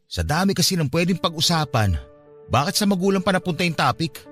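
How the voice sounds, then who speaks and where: A man's voice: on the high side, expressive, fairly quick, fairly bright tone, faint music behind. An actor playing a hurt, defensive young man confiding in his ex in a radio drama.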